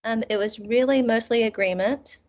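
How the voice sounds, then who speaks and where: A woman, a music teacher hosting a workshop on a video call, telling stories in an animated way. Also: on the high side, moderate pace. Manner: animated storyteller, laughs easily. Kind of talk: casual video call conversation. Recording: dull lo-fi video call audio.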